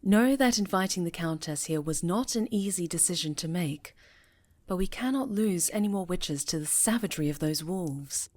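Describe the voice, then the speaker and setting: A woman playing a stern, commanding witch who leads a coven, speaking formally and with measured authority in an ASMR fantasy roleplay. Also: on the low side, lively intonation, darkish tone. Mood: firm. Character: stern, commanding female high enchantress leading a witch coven.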